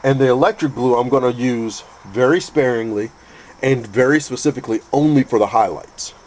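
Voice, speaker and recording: A man with a stuffy, congested voice from a cold, calmly explaining a painting technique step by step with frequent restarts. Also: mid-range voice, brisk, slight background noise. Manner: calm, methodical, with frequent restarts. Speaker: custom figure painter teaching. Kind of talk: painting tutorial narration.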